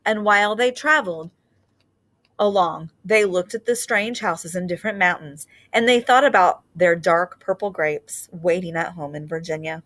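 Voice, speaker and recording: A woman reading a children's picture book aloud like a teacher, with expressive storytelling and deliberate, drawn-out phrasing. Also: fairly low pitch.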